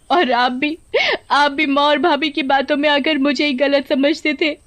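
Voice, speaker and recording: A woman's voice: sits high, pitched above the performer's own voice. Woman acting a tearful, vengeful female witch. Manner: tearful, aggrieved, threatening. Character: wronged young wife turned weeping vengeful witch.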